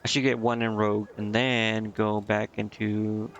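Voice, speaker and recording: Man, game streamer, creaky voice, casual indecisive muttering, dull lo-fi mic. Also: fairly low pitch, flat delivery, unhurried, fairly bright tone, slight background noise. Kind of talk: casual live gameplay commentary, thinking aloud.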